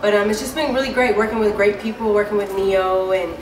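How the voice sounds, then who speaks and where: A woman singer giving a casual interview, talking in a deep, low speaking voice with many easygoing fillers. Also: fairly quick. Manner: relaxed and chatty, many fillers like "um" and "you know". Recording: echoey room, mic far off, clear background noise.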